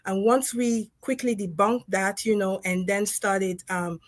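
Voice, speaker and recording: A woman from Congo who grew up speaking French tells her story in a relaxed livestream chat. She thinks aloud, pausing on fillers. Her voice sits at a middle pitch, and the sound is dry and close. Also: no room sound. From Democratic Republic of Congo. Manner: reflective, storytelling with frequent fillers and laughs. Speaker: dentist and co-author guest. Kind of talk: casual livestream conversation.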